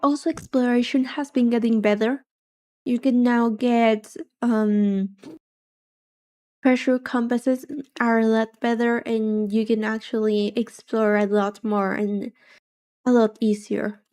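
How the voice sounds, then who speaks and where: Soft-spoken woman streamer, mellow tone. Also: a little high, steady tone, slow. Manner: soft-spoken, rambling and chatty. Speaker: VTuber streamer. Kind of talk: casual chat while gaming.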